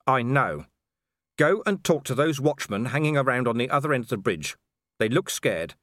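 A man as a calm, clipped watch commander. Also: medium pitch, normal speed, dry recording, studio quality. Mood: calm commanding. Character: weary cynical male watch commander.

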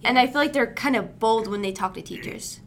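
A woman's voice: on the high side, a little fast, vocal fry, a little hiss or hum. A teenage girl, a student guest, speaking earnestly and casually. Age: teens.

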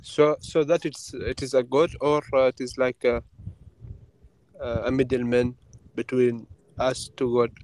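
A man from Oman speaking in a casual chat, curious and asking many questions, with halting phrasing. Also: medium pitch, flat delivery, darkish tone, low-quality recording. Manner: curious, asks many questions, halting non-native English. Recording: online call audio with faint noise.

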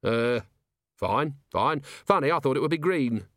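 A man's voice: medium pitch, animated, measured pace, fairly bright tone, dry recording, studio quality, pitched above the performer's own voice. A man voicing a cynical, world-weary middle-aged police commander in an audiobook, blunt and sardonic. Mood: flustered.

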